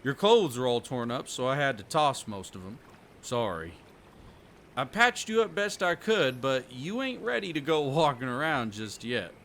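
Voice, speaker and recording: A man's voice: medium pitch, big pitch swings, moderate pace, a bit bright, slight background noise. A man voicing a kindly, plain-spoken rustic trapper in an audio roleplay, folksy and reassuring. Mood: concerned, friendly. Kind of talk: audio roleplay character acting.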